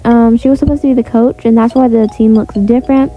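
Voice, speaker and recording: Female tutorial creator, higher register, informal and rambling, lo-fi sound with music underneath. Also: steady tone, fast. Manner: informal, rambling, repeats filler phrases. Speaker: video creator and host of a tutorial. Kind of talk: casual step-by-step tutorial voice-over.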